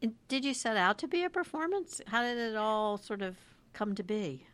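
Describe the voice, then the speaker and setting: A woman's voice: a little high, normal speed, fairly bright tone, smooth. A woman hosting a podcast, moving between scripted introduction and conversational interview questions.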